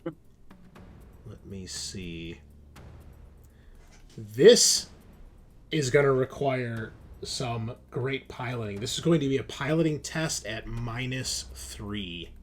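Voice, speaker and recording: A man's voice: mid-range voice, expressive, slow, pauses often. A man narrating a tabletop roleplaying game as game master, setting scenes and calling for rolls.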